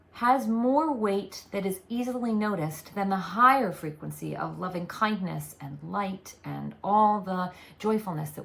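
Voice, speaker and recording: A woman channeling spiritual guidance, speaking as a collective "we" in a slow, deliberate, soothing way. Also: mid-range voice, creaky.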